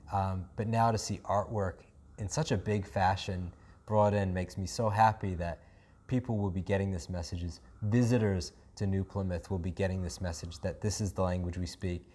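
Casting: New Zealand male host, low voice, eager, meandering chat. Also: mellow. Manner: enthusiastic, rambling with many fillers. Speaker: podcast host. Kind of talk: casual chat.

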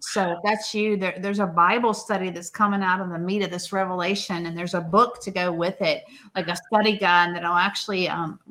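A woman's voice: on the low side, a bit bright. A woman speaking spontaneous prophetic encouragement as a minister on an online livestream.

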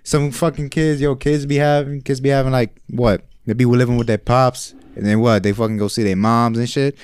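A man's voice: medium pitch, fairly even intonation, a little fast, a little mellow, clear, resonant tone. A twenty-one-year-old man with an American accent, talking casually and insistently, repeating himself to hold the floor. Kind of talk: casual group chat.

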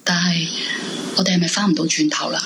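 A woman's voice: fairly low pitch, fairly even intonation, fast talker, background noise, natural voice. A woman acting in a Hong Kong Cantonese radio drama, playing a heartbroken, lovesick young woman. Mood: wistful, hurt. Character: heartbroken, lovesick young woman narrating her sleepless longing. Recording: music bed under the voice.

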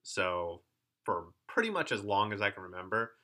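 Chatty man with a bright edge. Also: lowish voice, normal speed. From Korea. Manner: relaxed, chatty, frequent restarts and "right?" checks. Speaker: podcast co-host. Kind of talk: casual conversational storytelling.

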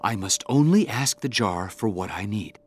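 A man playing a humble, gentle fisherman and younger brother, polite and earnest, in a children's audio drama. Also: on the low side, expressive, moderate pace, slight background noise, lightly acted.

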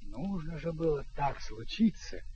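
An actor playing a wry narrator, reading scripted literary narration for a radio play. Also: male voice, fairly high pitch, expressive, measured pace, warm tone, breathy edge, exaggerated voice. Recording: dull vintage radio recording with music.

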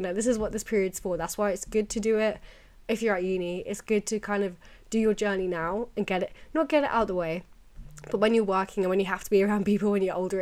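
A young British woman hosting a casual, chatty solo podcast, rambling and confiding, her voice a little croaky from a cold and sore throat. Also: medium pitch, a little mellow. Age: young adult. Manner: informal, rambling, confiding, peppered with "like" and "you know". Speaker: podcast host.

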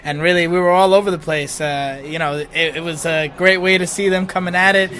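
A man from Jersey City talks eagerly about his team, leaning on fillers as he thinks aloud. His voice sits a touch high. He is interviewed in a bar. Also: steady tone, a little fast, sharp tone. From Jersey City, USA. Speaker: football fan interviewee. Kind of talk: post-match fan talk. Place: crowded bar.

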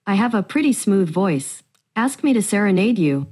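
A woman's voice: medium pitch, very animated, moderate pace, a little mellow. A synthetic woman's voice playing a cheerful voice assistant from a device across the table. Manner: smooth, chipper synthetic speech. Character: female voice assistant on a phone, cheerful. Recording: played from a device across the room.

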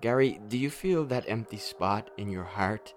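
A man's voice: lowish voice, lively intonation, normal speed, lightly acted. A man acting a weary, hard-drinking, despairing superhero in a darkly comic radio play, brooding and rambling with a sardonic edge. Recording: music playing under the voice.

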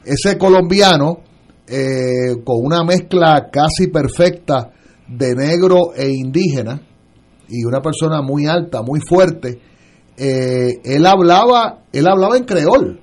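A man on a political talk radio panel, speaking as a lawyer. His voice sits a little above the usual male range. He pauses a lot, fills gaps with filler sounds and restarts his sentences as he works toward his point. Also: a little high, lively intonation, darkish tone.

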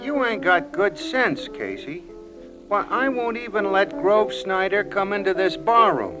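Folksy high-voiced bartender, old radio. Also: male voice, big pitch swings, a little slow. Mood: scolding. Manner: folksy, ungrammatical talk. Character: plainspoken, opinionated, folksy male barroom bartender. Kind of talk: 1940s radio acting. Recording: old radio drama with loud music.

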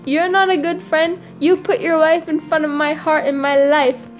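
A woman voicing a crafty, excitable male monkey in a storybook tale, with a slangy way of talking. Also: high voice, normal speed, muffled recording, faint music behind, voice pushed up. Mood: indignant. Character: breezy, crafty male monkey, loyal friend turned indignant. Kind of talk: casual storybook character voice.

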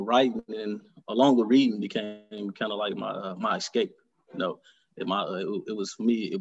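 Husky man, reflective. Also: fairly low pitch, dull audio. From Detroit, Michigan. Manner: reflective and earnest, halting. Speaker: author, interview guest. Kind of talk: conversational interview.

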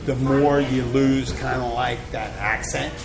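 A man's voice: mid-range voice, steady tone, measured pace, gravelly. A man with a Philadelphia accent hosting a casual chat, rambling and teasing. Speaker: show host. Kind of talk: casual radio-style chat. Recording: roomy venue with loud crowd.

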